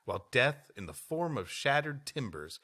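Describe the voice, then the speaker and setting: Lively, bright-voiced male comedy podcaster. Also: mid-range voice, moderate pace. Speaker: podcast co-host. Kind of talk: reading a history text aloud with improvised comic banter.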